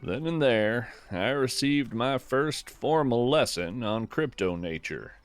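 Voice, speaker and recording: A man playing a gentle, folksy naturalist who hosts a scripted fiction podcast, musing poetically and with wonder about the woods. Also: medium pitch, animated, faint music behind. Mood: reflective. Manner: reflective, poetic, unhurried musing. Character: gentle, wonder-struck folksy naturalist narrating a woodland walk. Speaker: podcast host.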